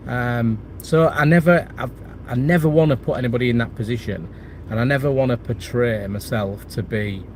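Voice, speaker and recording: A man's voice: mid-range voice, moderate pace, a little mellow, a little hiss or hum. A middle-aged man with a cold, chatting casually on a livestream, rambling with lots of restarts and fillers. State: has a cold and chest infection. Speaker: property channel host. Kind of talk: casual livestream chat reading viewer comments.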